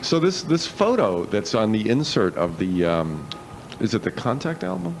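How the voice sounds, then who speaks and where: A man's voice: lowish voice, big pitch swings, normal speed, vocal fry, faint music behind. A man hosting a radio interview in a casual, chatty style. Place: radio studio. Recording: noisy old radio aircheck.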